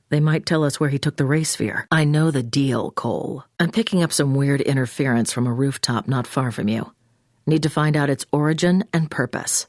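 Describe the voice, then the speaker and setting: A woman's voice: a bass voice, a little mellow, no room sound. Female intelligence handler, video game, commanding, directive, businesslike, very dry studio sound. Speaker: mission handler. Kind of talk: scripted video game voice acting.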